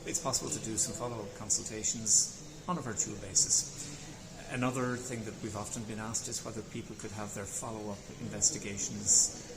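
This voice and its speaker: A man, a consultant radiologist, explaining things in a measured, methodical way in a conference interview, sometimes restarting a phrase. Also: fairly low pitch, fairly even intonation. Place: busy conference venue. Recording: echoey venue with loud ambient noise.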